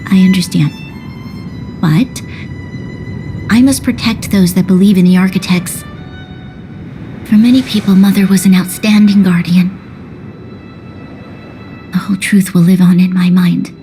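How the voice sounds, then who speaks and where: An actress playing a grave, dutiful young woman leader in a video game cutscene, speaking in a solemn, formal, measured way. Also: lowish voice, steady tone, warm tone, close to an everyday voice. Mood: solemn, determined. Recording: orchestral music behind the voice.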